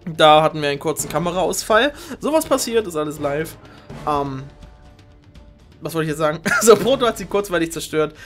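A man's voice: a little high, expressive, brisk, fairly bright tone. Male game show host, theatrical and jokey commentary, music underneath. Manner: joking, exclamatory, theatrical.

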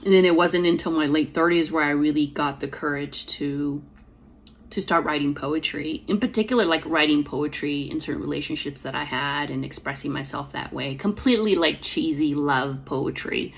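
A woman in her forties talks earnestly to a camera in a small room at home. Her voice sits deep and carries a gravelly edge, and a slight lisp colors her words. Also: moderate pace. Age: 40s. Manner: reflective, earnest, with fillers and restarts. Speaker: poet and author. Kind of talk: personal storytelling to camera. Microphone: device camera at close framing.

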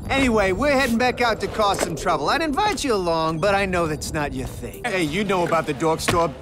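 A man voicing a cocky, mocking cartoon cat who leads a gang of troublemakers, in a clip with loud music. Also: high-pitched, animated, a little fast. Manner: mocking, boastful.

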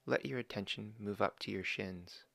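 Male meditation guide, low gravelly voice, dry close mic, slow calm instructions. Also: no echo. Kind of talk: guided body scan meditation.

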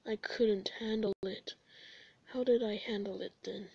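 A woman's voice: mid-range voice, steady tone, a little slow, gravelly, darkish tone, breathy edge, no echo, dull audio, voice pushed down. A woman voicing a gloomy, sleepy male skeleton in a comic dub, muted and hesitant with sighs. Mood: anguished, haunted. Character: gloomy, sleepy, low-key older brother skeleton.

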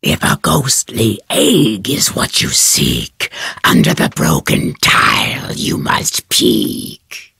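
A ghostly game whisperer with an extremely low, gravelly voice. It is breathy and eerie, creeping slowly through rhymes with wild swoops. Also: wildly swooping pitch, pushed and bright, dry recording, exaggerated voice. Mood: eerie, teasing. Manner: slow, drawn-out, eerie. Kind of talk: spooky rhyming video game voice acting.

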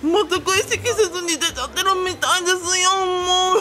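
A woman's voice: extremely high pitch, normal speed. A woman playing a flustered, whiny young boy in a comic radio drama, stammering and speaking politely. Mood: flustered whining. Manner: stammering, whining polite speech. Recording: music behind the voice.

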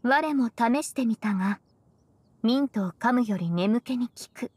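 A woman voicing a cool, stoic, blunt woman in an anime-style game, deadpan and measured, with archaic wording. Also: on the high side, husky, no room sound. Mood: calm, matter-of-fact.

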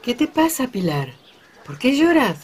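A woman's voice: on the high side, sing-song, a little slow, slight background noise. An actress playing a composed, discreet lady of a ranch-owning family in a radio drama, with a Rioplatense accent. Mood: concerned. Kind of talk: radio soap opera acting.

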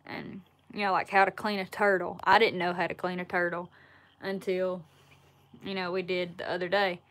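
A woman in her twenties with a Southern Alabama accent, chatting casually on a livestream and answering viewer questions in a relaxed, folksy way from a wood-paneled room. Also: lowish voice, normal speed. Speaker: outdoors YouTuber answering live chat. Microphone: laptop or phone camera at arm's length.

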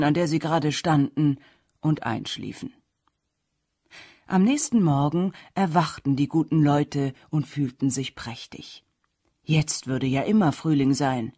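A woman's voice: low voice, steady tone, unhurried, a little mellow, no echo, studio quality. A woman narrating a folk tale as a scripted audiobook. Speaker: storyteller.

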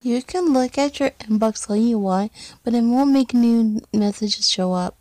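A woman's voice: fairly high pitch, measured pace, dark tone, dry recording, lightly acted. A woman voicing an exasperated, sarcastic schoolboy in an amateur cartoon comic dub. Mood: dry.

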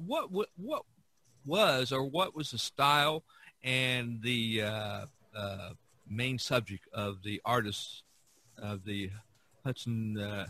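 A man hosting a podcast in a casual way, halting and repeating words as he talks. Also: medium pitch, sharp tone. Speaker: podcast host.

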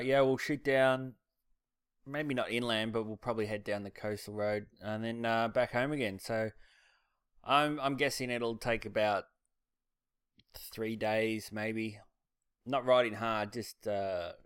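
A man's voice: on the low side, clear, resonant tone, no room sound, clean studio sound. A man with an Australian accent, casually talking a camera through his motorcycle and a planned road trip, rambling with plenty of ums and uhs. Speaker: motorcycle vlogger. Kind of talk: casual vlog narration.

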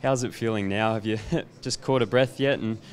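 A man interviewing a footballer after a match, asking brisk questions into a handheld mic. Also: fairly low pitch, steady tone. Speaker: club interviewer. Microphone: handheld microphone.

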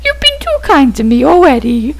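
A man doing a timid little star plant, higher than his own voice. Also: wildly swooping pitch, normal speed, vocal fry, heavily acted. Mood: grateful. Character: gentle shy hungry little star plant.

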